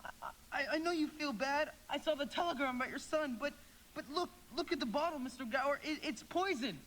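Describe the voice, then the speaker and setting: Scared little boy in a radio play, stammery and pleading, really high voice, bit of noise. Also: fairly quick, fairly bright tone, poor audio. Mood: frightened, pleading. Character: frightened, pleading, good-hearted young boy. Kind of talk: live radio play acting.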